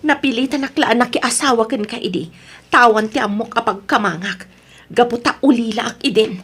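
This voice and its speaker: A woman's voice: on the high side, moderate pace, fairly bright tone, husky. A woman playing an unhappy wife and mother who wants her freedom, in an Ilocano radio drama. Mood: bitter, resolute.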